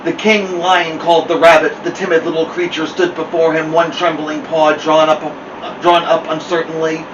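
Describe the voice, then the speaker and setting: A man with a high voice reads aloud to children in an expressive, playful way. He drops in chatty asides to his listeners. The mic sounds distant and there is clear noise behind him. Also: normal speed, dull audio. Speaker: host of a children's story show. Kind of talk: animated read-aloud storytelling with chatty asides.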